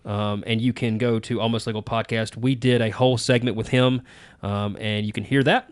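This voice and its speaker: A man, hosting a casual podcast chat in a relaxed, easygoing way with plenty of ums and uhs. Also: fairly low pitch, normal speed, smooth. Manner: relaxed, easygoing, many fillers. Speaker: podcast host.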